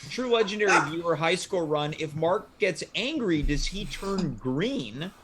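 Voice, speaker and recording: Higher-voiced man hosting a casual panel. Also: expressive, unhurried, over a noisy crowd. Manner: steers guests with questions. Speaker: host. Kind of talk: casual panel chat, reading viewer comments.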